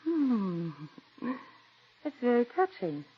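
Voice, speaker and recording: A husky, grand stage star. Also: female voice, on the high side, big pitch swings, takes it slowly, full character voice. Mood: gracious. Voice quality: husky, theatrical. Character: celebrated female stage actress, grand and vain. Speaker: radio drama actress. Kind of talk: 1940s radio acting. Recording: dull 1940s radio broadcast.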